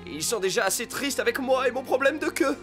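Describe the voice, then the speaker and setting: A performer voicing an anxious, pleading cartoon fox disguised as a pet dog, earnest, with loud music behind. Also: medium pitch, animated, a little fast, close to an everyday voice. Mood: dejected. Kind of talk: French cartoon dubbing.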